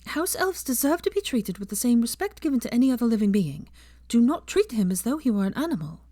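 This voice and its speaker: A woman's voice: fairly high pitch, very animated, fast talker, dark tone, no echo, studio quality, pitched above the performer's own voice. A woman playing an earnest, principled and easily flustered young woman in a storybook reading. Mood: indignant. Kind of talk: dramatised audiobook character dialogue.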